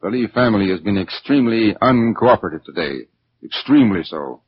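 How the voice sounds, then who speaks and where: An actor playing a formal, deliberate, businesslike gentleman in a 1940s radio drama. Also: lowish voice, animated, slightly rough. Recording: muffled, noisy 1940s radio recording.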